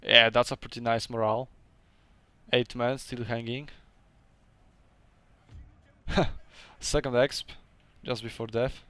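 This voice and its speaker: A man's voice: mid-range voice, level tone, slow, long pauses, pushed and bright, no room sound. A young man with a Polish accent casually commentating his own strategy game match, chatty and laughing often, with hesitant second-language phrasing.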